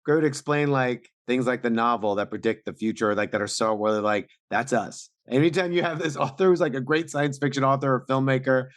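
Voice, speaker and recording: A man's voice: medium pitch, brisk, few pauses, dry recording, clean studio sound. A man co-hosting a podcast, casually and enthusiastically discussing a fiction series. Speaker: podcast co-host.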